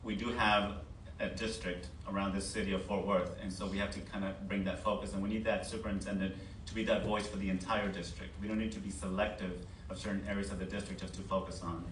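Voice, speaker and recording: A man's voice: sits low, level tone, fast, fairly bright tone. A man speaking off the cuff as a candidate at a public forum. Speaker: school board candidate at a forum. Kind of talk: unscripted public forum answer. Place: meeting board room. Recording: echoey board room, distant mic, background noise.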